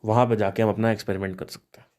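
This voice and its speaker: A man's voice: fairly low pitch, little pitch movement, very fast, darkish tone. A man of thirty hosting a podcast, musing aloud on spiritual ideas with restarts and rhetorical questions. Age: 30s. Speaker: podcast host. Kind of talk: reflective spiritual talk.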